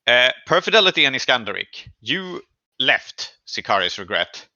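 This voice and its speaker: A man with a very bright, forceful voice leads a tabletop roleplaying game as its game master. He explains the scene deliberately, pausing to find his words. The sound is very dry and slightly dull. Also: mid-range voice, big pitch swings, dry recording. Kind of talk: improvised narration of a tabletop game session.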